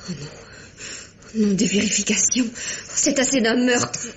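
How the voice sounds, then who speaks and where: A woman's voice: a little high, slow, a little hiss or hum. A woman playing a proud, anguished young lady accused of murder, dramatic and formal, in an old-style radio mystery. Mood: anguished, resigned.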